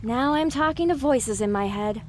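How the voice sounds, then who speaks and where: A woman's voice: fairly high pitch, animated, moderate pace, darkish tone, slight background noise, lightly acted. A woman playing an anxious young female pony in an audio drama, sarcastic and easily panicked.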